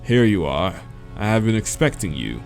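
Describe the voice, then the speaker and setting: A man's voice: on the low side, big pitch swings, a little mellow, soft background music. A man voicing an imposing, demonic owl man in a visual novel, pompous and formal in his speech. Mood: grave, pompous. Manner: pompous, formal, measured wording.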